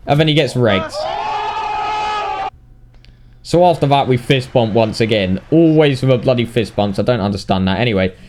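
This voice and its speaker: Sweary British lad narrating game highlights. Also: male voice, darkish tone, a little hiss or hum. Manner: sweary, blokey, mock-indignant. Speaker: gaming video narrator. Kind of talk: casual game highlights commentary.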